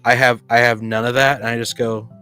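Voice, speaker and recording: A man's voice: medium pitch, little pitch movement, brisk, sharp tone, clean tone. Casual man chatting over faint music. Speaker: podcast co-host and game master. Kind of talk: casual discussion of tabletop roleplaying games.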